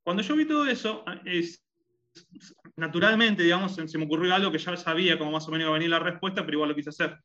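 A man's voice: fairly high pitch, quick pace, pushed and bright. Hesitant Rioplatense man, muffled audio. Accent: Rioplatense Spanish. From Argentina and Uruguay. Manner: hesitant, many fillers and restarts. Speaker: guest. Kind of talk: conversational interview.

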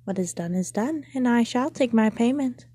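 A woman playing a gloating demon of the shadows in a storybook reading, menacing as he bargains. The voice is dark and close, with a dry sound. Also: on the high side, lively intonation, normal speed, husky, no room sound, voice pushed up. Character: sinister male cave demon of shadow.

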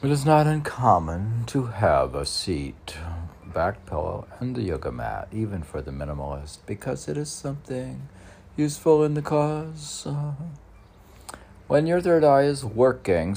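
A middle-aged man reads his own poems in a very low, creaky voice. He takes his time, declaiming lines and then muttering asides to himself, with faint noise in the recording. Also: darkish tone. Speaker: poet. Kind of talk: reading own poetry with off-the-cuff asides.

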